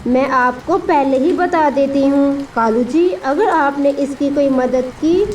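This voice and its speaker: Woman as a high-pitched, spiteful nagging crow wife. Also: takes it slowly. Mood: resentful. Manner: sarcastic, scolding. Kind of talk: children's cartoon story acting. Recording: distant voice with steady rain noise.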